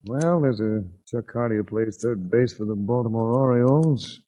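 An actor playing a suave, wry American private investigator in a 1940s radio detective drama, dryly wisecracking and narrating. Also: male voice, medium pitch, moderate pace, warm tone, lightly acted. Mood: dry, casual. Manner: dry, wisecracking. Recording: muffled 1949 radio recording.

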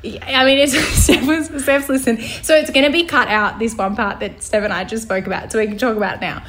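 A woman's voice: fairly high pitch, steady tone, brisk, a bit bright, slight background noise. A young woman co-hosting a podcast in casual chat, talking chattily, laughing often and restarting her sentences. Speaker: podcast co-host.

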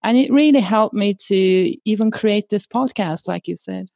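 A woman hosting a podcast, soft-spoken, interviewing her former voice coach. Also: mid-range voice, normal speed, creaky, no echo, studio quality. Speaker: podcast host.

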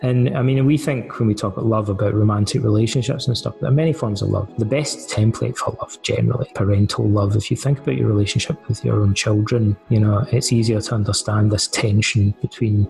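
Low, gravelly Scottish man, deep voice. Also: fairly even intonation, a little fast, hardly any pauses, darkish tone, soft background music. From Scotland. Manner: thoughtful, hesitant with fillers and restarts. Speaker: guest. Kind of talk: conversational interview answers.

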